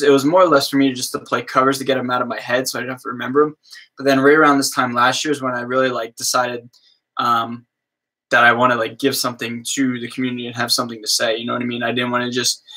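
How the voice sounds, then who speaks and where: A young man from the US East Coast with a slow drawl, chatting casually with viewers on his livestream and padding his talk with fillers. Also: medium pitch, sharp tone, poor audio. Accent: American East Coast. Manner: slow drawl, lots of fillers like "you know what I mean". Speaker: livestream host, musician.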